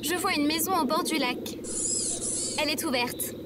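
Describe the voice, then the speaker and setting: A woman playing a pessimistic, sarcastic robot companion in comedic audio fiction, with a deadpan, complaining manner. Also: high-pitched, moderate pace, lightly acted. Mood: gloomy, sarcastic. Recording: loud background noise.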